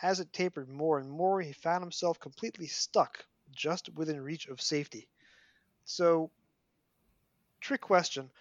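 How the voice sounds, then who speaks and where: A man's voice: fairly high pitch, measured pace, dry recording. A man with a scratchy voice and a Brooklyn accent, hosting a podcast chat and reading passages aloud from a book. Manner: animated storyteller, mock dramatic. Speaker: podcast host.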